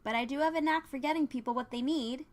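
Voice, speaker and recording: A woman's voice: sits high, fast talker, pitched above the performer's own voice, natural voice. A woman voicing a perky, kind small-town woman in an audio fan fiction drama, upbeat and playfully placating. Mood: perky, teasing.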